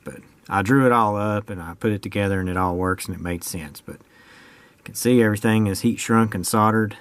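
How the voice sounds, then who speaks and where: An American man giving a casual, unscripted hands-on explanation of a homemade project in a plain-spoken, folksy way. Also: fairly low pitch. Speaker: hobbyist presenter demonstrating a homemade radio project.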